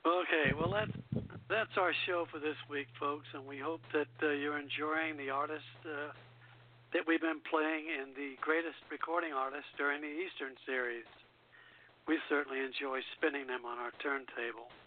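A man with a slightly high voice hosts a radio show. His delivery is casual and chatty, and the sound is narrow and tinny like a phone call. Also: steady tone, normal speed, slight background noise. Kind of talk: casual hosting and sign-off. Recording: tinny band-limited phone line.

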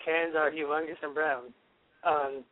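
A man's voice: a little high, flat delivery, measured pace, dry recording. A man phoning in to a radio show as a regular caller, chatting casually. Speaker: caller and parody songwriter. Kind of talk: casual chat. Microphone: telephone. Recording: thin phone-line sound.

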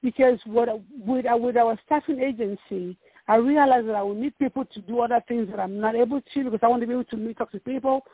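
A woman's voice: a little high, quick pace, breathy edge, dry recording. A woman from Nigeria with a Nigerian accent, a ministry founder talking earnestly about her work and often repeating words as she searches for them. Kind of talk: casual interview talk. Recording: muffled phone line.

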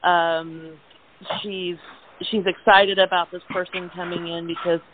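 A woman's voice: on the low side, level tone, measured pace. A woman chatting casually as an interview guest, informal and a little hesitant. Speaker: guest, play cast member. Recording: noisy, tinny phone line.

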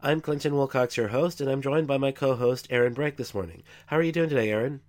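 Congested male podcast host. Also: mid-range voice. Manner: measured, explanatory, with frequent uh fillers. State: congested from a cold. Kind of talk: scripted-sounding podcast commentary.

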